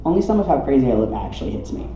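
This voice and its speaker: A man's voice: medium pitch, very animated, very fast, mellow. A man from the southeastern United States reads his own humorous memoir to a live room, with a dramatic, wry comic delivery. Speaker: storyteller reading own memoir. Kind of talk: live reading of a humorous personal narrative. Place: coffee shop reading event. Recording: muffled roomy live recording, some noise.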